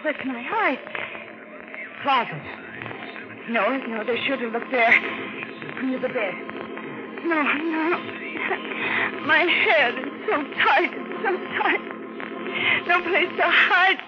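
An actress playing a terrified, fragile young wife in 1940s radio drama, breathless and melodramatic. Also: female voice, sits high, slow, long pauses. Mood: panicked terror. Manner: breathless, melodramatic, haunted self-questioning. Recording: muffled old radio broadcast with music.

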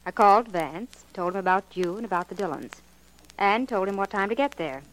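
A woman's voice: mid-range voice, expressive, fairly quick, lightly acted, poor audio. A woman acting a scheming, insistent young woman in a melodramatic 1940s radio crime drama, with an American accent. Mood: cool, guarded. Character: scheming young woman, hysterical accuser, cool accomplice. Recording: old 1940s radio recording.

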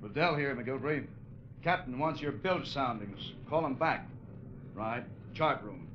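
A man's voice: mid-range voice, expressive, measured pace, close to an everyday voice. Male actor as dutiful ship's officer, understated, guarded, vintage radio drama, muffled noisy recording. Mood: respectful, businesslike. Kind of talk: old-time radio drama acting.

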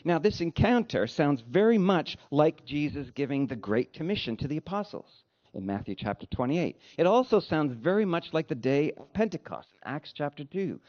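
A male pastor delivers a sermon in a fairly high voice that swings widely in pitch. His phrasing is deliberate and emphatic, with a dryly humorous streak. The recording sounds slightly dull and lo-fi. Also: sing-song. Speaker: pastor preaching.

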